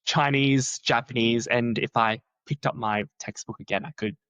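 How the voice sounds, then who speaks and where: A man's voice: moderate pace, smooth, dry recording. Chatty young man, dry close mic. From Australia. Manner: chatty, many "like" fillers, lively swings in pitch. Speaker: researcher, podcast guest. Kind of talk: casual conversational interview.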